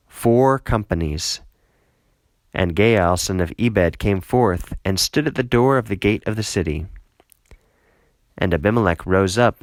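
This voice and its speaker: A man narrating a scripted Bible reading in a measured, solemn way. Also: deep voice, no echo.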